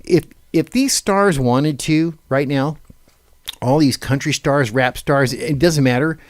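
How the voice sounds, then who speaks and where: A man's voice: a little high, takes it slowly, dry recording, studio quality. A man hosting a casual live panel chat, rambling and thinking aloud as he puts questions to the others. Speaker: radio show host. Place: radio studio.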